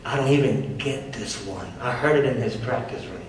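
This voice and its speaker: A man's voice: medium pitch, moderate pace, lightly acted. A man playing an insecure, jealous stand-up comedian with a sulky, sardonic manner in a script reading. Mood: sullen. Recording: very echoey hall, far mic, faint noise.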